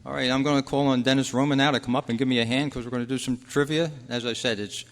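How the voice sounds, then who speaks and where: Male quiz host, mid-pitched voice, reading questions aloud at a club meeting, heard with long hall reverb. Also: steady tone, fast. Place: indoor meeting room at a convention.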